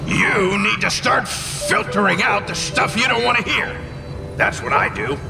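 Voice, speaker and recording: Brash, wildly swinging demon spirit. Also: male voice, high voice, big pitch swings, a little slow, vocal fry, over music, full character voice. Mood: mocking. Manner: brash, blustering, mocking. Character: irascible, scornful male demon spirit, grudgingly supportive.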